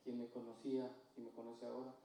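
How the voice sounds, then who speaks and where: A man from Ecuador with an Ecuadorian Spanish accent, giving a slow, halting, earnest personal testimony in church. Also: medium pitch, completely flat delivery, mellow, slight background noise. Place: church hall. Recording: distant mic in echoey church hall.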